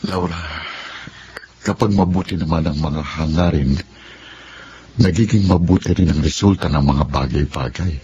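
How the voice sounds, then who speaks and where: An actor playing a gentle, devoted suitor in a radio drama, speaking earnestly and tenderly. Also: male voice, a bass voice, normal speed, long pauses. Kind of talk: Tagalog radio drama acting. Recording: dull, faintly noisy.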